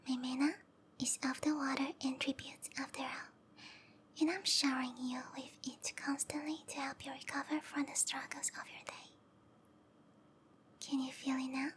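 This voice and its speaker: A woman voicing a sweet, obsessive snake-woman lover in a whispered ASMR roleplay, speaking softly and tenderly with intimate, lingering phrasing. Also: high voice, flat delivery, normal speed, a little hiss or hum, lightly acted. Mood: soothing, possessive. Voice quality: soft, breathy whisper.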